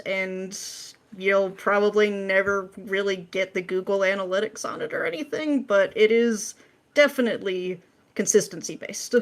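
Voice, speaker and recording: A woman answering questions as a guest in a casual interview, informal and dryly self-deprecating. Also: medium pitch.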